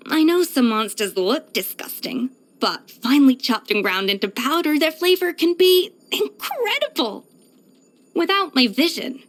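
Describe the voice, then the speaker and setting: An actress voicing a proud, exacting young pastry chef in a video game, poised, self-assured and precise in manner. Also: female voice, sits high. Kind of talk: scripted video game character voice lines.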